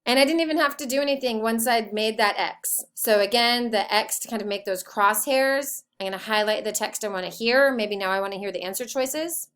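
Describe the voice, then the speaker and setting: Higher-pitched woman giving a tutorial. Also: a little fast, hardly any pauses, fairly bright tone. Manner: explanatory, step-by-step. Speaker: teacher giving a software tutorial. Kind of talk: informal screencast tutorial.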